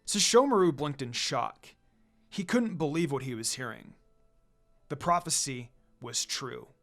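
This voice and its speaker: A man's voice: medium pitch, expressive, a little slow, vocal fry, a bit bright. A man reading fan fiction aloud as a narrator, in a dramatic scripted storytelling style. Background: music.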